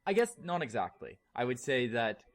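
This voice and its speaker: A man's voice: medium pitch, sing-song, normal speed. A man chatting informally as a guest on a podcast. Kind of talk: casual panel chat.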